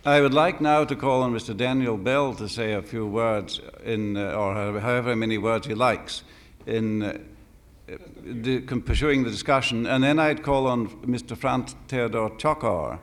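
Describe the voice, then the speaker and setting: A man's voice: mid-range voice, normal speed. A man chairing a panel discussion, polite and hesitant, fumbling his words as he calls on speakers. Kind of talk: moderating a panel.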